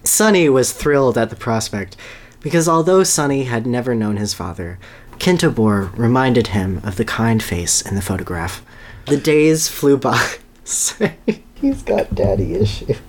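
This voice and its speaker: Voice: mid-range voice, lively intonation, measured pace. Podcast host reading a story aloud. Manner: amused, breaks into laughter while reading. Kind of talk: dramatic reading with casual asides.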